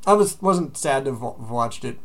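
A man hosting a podcast, chatting casually with friends and telling stories in an animated, sweary way. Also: mid-range voice, normal speed. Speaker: podcast host. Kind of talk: casual chat among friends.

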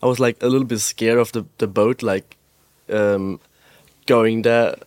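A young man from Austria, a guest in a podcast interview, talking in a relaxed way with lots of "like" and "um" and an easy laugh. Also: lowish voice, dry recording. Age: young adult. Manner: relaxed, fills pauses with "like" and "um", laughs easily. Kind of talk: casual interview chat. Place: pop-up store.

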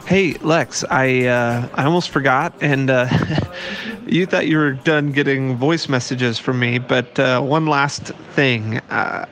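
A man's voice: medium pitch, slow, fairly bright tone, faint music behind. A man hosting a podcast, moving between conversational interviewing and scripted narration. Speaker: podcast host and producer.

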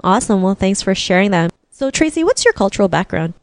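A woman's voice: mid-range voice, very animated, quick pace, gravelly. A woman hosting an interview podcast, chatting in an upbeat way and often saying "like" and "right?". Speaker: podcast host. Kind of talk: casual interview chat.

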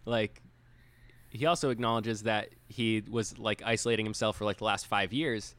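A man's voice: on the low side, fairly even intonation, moderate pace, fairly bright tone. A man co-hosting a casual discussion, talking in a loose, rambling, hedging way peppered with "like". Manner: rambling, hedging, heavy use of "like". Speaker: co-host. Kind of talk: casual film discussion chat.

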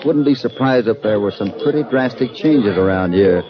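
An actor playing a calm, steady cowboy hero in a 1940s radio western, cool and dryly amused. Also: male voice, medium pitch, moderate pace, a crowd in the background, close to an everyday voice. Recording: echoey muffled old radio recording.

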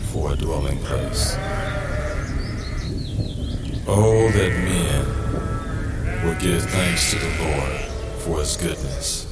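A man giving a solemn dramatized Bible reading in measured phrases with deliberate pauses. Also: very deep voice. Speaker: scripture narrator. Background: music.

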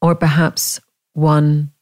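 A woman leading a guided meditation, speaking in gentle, inviting questions with long pauses between phrases. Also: deep voice, monotone, dry recording, clean studio sound. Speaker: meditation teacher.

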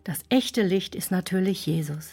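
A woman's voice: fairly low pitch, lively intonation, moderate pace, vocal fry, darkish tone, breathy edge, heavily acted. An actress playing a proud, curt woman who speaks formally in a German children's audio drama. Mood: humbled, sincere. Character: Gabi, proud bitter woman who softens. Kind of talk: German children's radio play acting.

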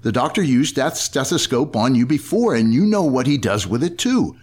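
A man's voice: medium pitch, sing-song, normal speed, a little mellow, breathy edge. A man playing a patient but exasperated father explaining things to his little girl in an old-time radio comedy.

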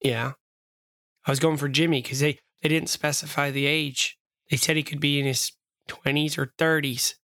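A man improvising comedy banter with a croaky, hoarse voice and a dry, understated delivery. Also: on the high side, fairly even intonation, normal speed, bright, forceful tone.

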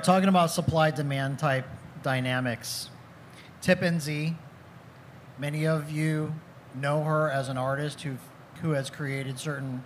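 Male host, pitch a bit high, friendly interviewer at a live panel; faint background noise. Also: fairly bright tone. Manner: friendly, introducing guests.

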